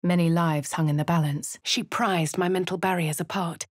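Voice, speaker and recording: A composed young adventurer with an upper-class English accent, voiced by an actress. She narrates her own deeds in a measured, clipped manner, slightly low in pitch. The studio sound is dry and close. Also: steady tone, no echo, natural voice. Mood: calm narration. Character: posh young adult woman adventurer, composed. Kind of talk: game character narrating her actions.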